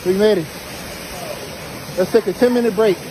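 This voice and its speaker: A man chatting casually to viewers as he films a hike, with a playful, exclamatory manner and folksy turns of phrase. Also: high-pitched. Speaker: vlogger filming a hike. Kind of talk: casual trail vlog chat addressed to viewers. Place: outdoors on a canyon trail by a stream. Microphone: handheld phone camera. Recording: noisy outdoor phone audio, rushing stream.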